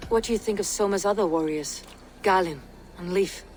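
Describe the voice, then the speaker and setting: An actress playing a stoic, battle-hardened female Viking raider in a video game, speaking in a measured, terse way. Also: mid-range voice, a little mellow, a little hiss or hum, natural voice, low-quality recording. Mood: steady, probing. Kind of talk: video game cutscene acting.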